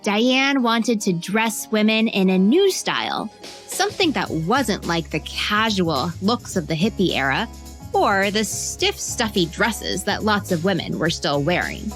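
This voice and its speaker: A woman reads a scripted biographical story for children, phrasing it clearly like a storyteller with conversational asides. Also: mid-range voice, big pitch swings, measured pace, a bit bright, soft background music. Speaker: narrator.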